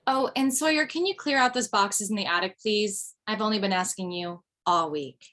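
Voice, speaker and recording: A woman's voice: mid-range voice, moderate pace, fairly bright tone, natural voice. Woman acting sardonic mother, mid-range voice, blunt delivery, comedy pilot read. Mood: brisk, exasperated. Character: wry, blunt widowed mother of two, former standup comic. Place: remote video call.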